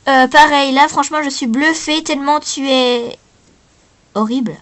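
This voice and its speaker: A female performer playing presenter and coaches in an improvised talent-show parody, speaking in a hesitant, halting way. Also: high-pitched, a bit bright. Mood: blunt, dismissive.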